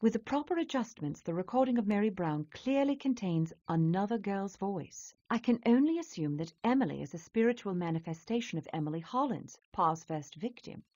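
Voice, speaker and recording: Video game investigator voiced by a woman: mellow, matter-of-fact, dull lo-fi audio. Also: medium pitch, expressive, brisk, few pauses, natural voice. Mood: analytical. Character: calm adult woman paranormal investigator.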